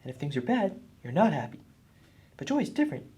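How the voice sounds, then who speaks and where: A woman voicing an earnest, husky boy puppet who explains things helpfully in a children's puppet show. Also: lowish voice, sing-song, quick pace, warm tone, exaggerated voice.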